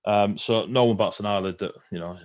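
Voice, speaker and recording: A man's voice: fairly low pitch, a little fast, a little mellow, no room sound, highs cut off. A man running a tabletop game as game master, chatty and rambling, with fillers and laughs.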